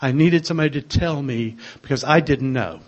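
An older man with a soft voice, sharing his own story in a gentle, wry way. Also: medium pitch, a little fast, dull audio. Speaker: speaker sharing a recovery story. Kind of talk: personal testimony to a group.

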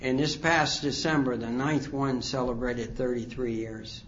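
A man in his sixties telling his recovery story at a conference, rambling and halting with many fillers. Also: mid-range voice, steady tone, fairly bright tone, muffled recording, a little hiss or hum. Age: 60s. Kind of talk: personal testimony talk. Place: conference hall.

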